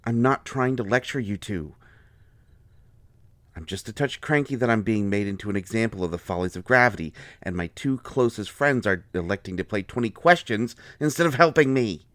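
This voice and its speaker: A man playing an injured bookish fellow in an audio roleplay, cranky yet gentle, with halting, fussy wording and a pained delivery. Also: on the low side, expressive, dry recording. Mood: cranky, strained.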